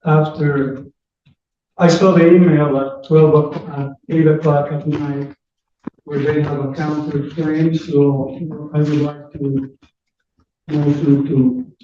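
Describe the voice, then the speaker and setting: Halting man, distant in echoey courtroom. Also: fairly high pitch, level tone. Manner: halting, slow, restarting phrases. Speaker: landlord, plaintiff. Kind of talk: courtroom testimony. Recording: very echoey room, distant, muffled, faint noise.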